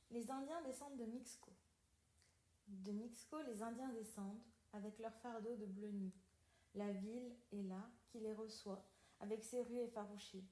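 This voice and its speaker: A woman reading literary poetry aloud in a deliberate, declamatory way with measured pauses. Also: on the high side, warm tone. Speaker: podcast reader. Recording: roomy, echoing sound.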